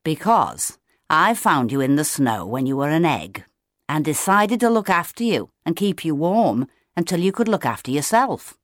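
A woman voicing a big, patient, fatherly male emperor penguin in a storybook, brisk and matter-of-fact. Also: big pitch swings, no room sound, clean studio sound. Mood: reassuring. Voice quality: deep.

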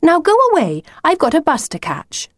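A woman's voice: high-pitched, wildly swooping pitch, moderate pace, no echo, studio quality, voice pushed up. A woman voicing a stubborn, unimpressed schoolgirl in a children's story, with a firm, matter-of-fact manner. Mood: cross, firm.

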